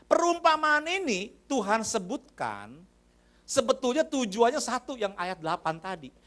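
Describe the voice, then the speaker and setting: A man's voice: very high voice, big pitch swings, normal speed, bright, forceful tone. A man preaching a sermon in a church. He talks to the congregation in a conversational way, addresses them often and asks them questions. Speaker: pastor.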